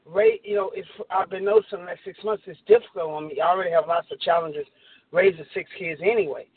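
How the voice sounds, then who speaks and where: A woman caller with a deep, masculine-sounding voice, talking candidly about her family life. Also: quick pace, husky, dry recording. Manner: candid, personal storytelling. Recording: dry tinny phone line.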